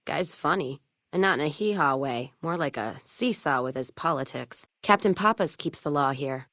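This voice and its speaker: A woman playing a hard-drinking, sharp-tongued American caravan owner in a post-apocalyptic western game, with blunt, sardonic, folksy profanity. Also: low voice, animated, normal speed, no room sound, clean studio sound. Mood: wry, dry. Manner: blunt, sardonic, profane frontier drawl with folksy turns of phrase. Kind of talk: video game character dialogue.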